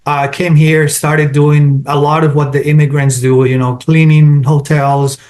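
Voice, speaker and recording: A man's voice: on the high side, level tone, a little slow, a little mellow. A man from Colombia with a Colombian Spanish accent, answering interview questions in an orderly way, laying out his points one by one. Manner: structured, lists points in order. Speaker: digital marketing consultant.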